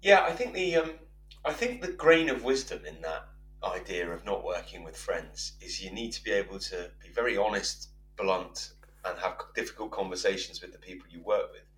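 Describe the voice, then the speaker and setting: Chatty male host, lowish voice, distant roomy mic with a faint hum. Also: normal speed, a bit bright. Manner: chatty, friendly, laughs easily. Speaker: podcast host. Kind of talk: casual interview chat.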